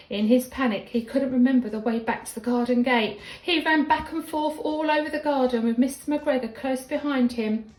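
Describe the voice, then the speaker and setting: A woman, sounding like a schoolteacher, reads a children's story expressively, with deliberate, dramatic emphasis. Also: on the high side, darkish tone. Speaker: primary school teacher reading a story. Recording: echoey room with background music.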